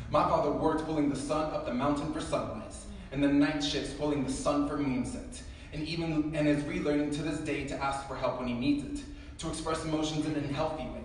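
A young man performing spoken word poetry with emphatic, rhythmic delivery, sprinkling in Spanish phrases. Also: medium pitch. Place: bare concrete-block gallery with a small audience, indoors. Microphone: handheld camera or phone in the audience, far from speaker. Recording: very echoey room, mic'd from far off, noisy.

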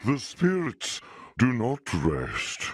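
A man's voice: medium pitch, huge pitch swings, heavy background noise. A man voicing a fierce elemental spirit warrior in a video game, declaiming battle cries. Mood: solemn menacing. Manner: slow, declamatory.

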